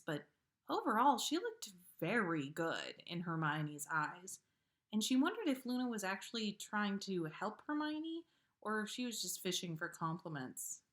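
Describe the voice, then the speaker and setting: A woman reading a fan fiction story aloud on a livestream, in a measured way with pauses. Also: mid-range voice, very animated, a bit bright. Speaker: podcast host reading listener fan fiction.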